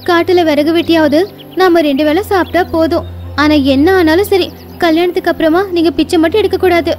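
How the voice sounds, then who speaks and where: A woman voicing an earnest, devoted young bride and sister in an animated bedtime story, speaking in a sincere and emphatic way. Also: extremely high pitch, brisk, soft background music, pitched above the performer's own voice. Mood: earnest, firm.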